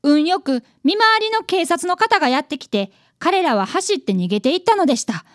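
A woman's voice: very high-pitched, sing-song, fairly quick, no echo, clean studio sound. A woman playing an earnest, polite eleven-year-old boy apprentice detective in a radio drama, narrating and talking dramatically. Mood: anxious narrating.

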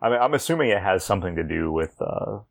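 Guy with a low gravelly voice, podcast host just shooting the breeze, informal. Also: lively intonation, quick pace. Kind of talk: casual chat about TV episodes.

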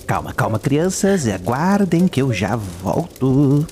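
An actor playing a sadistic, mockingly playful killer clown in a Brazilian audio horror drama, taunting in a falsely gentle sing-song way and repeating his words. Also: medium pitch, big pitch swings, slow. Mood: playful menace.

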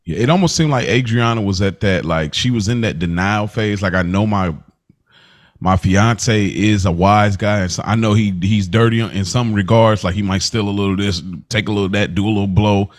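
A man's voice: fairly low pitch, moderate pace. A young man co-hosting a podcast, chatting in an easygoing, thoughtful way and often murmuring agreement. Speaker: podcast co-host. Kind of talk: casual chat.

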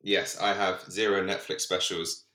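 A man's voice: deep voice, little pitch movement, moderate pace, pushed and bright. A man speaking as a podcast guest in casual chat, self-deprecating and laughing as he talks. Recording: roomy echo.

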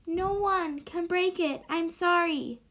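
Woman as a high-pitched, earnest townsperson. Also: slow pace, a bit bright, heavily acted. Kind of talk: amateur radio drama acting.

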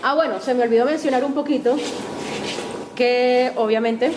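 Chatty Venezuelan woman, higher voice. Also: normal speed, noisy background. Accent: Venezuelan Spanish. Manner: chatty, self-deprecating, with light laughs. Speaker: guest speaker. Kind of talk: lecture talk to a class. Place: university auditorium.

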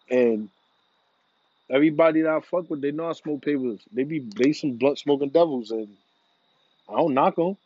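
A man's voice: medium pitch, mellow, dry recording, highs cut off. A man in a casual, rambling monologue, laid-back and profane, full of slang. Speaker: vlogger. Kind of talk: casual monologue about smoking.

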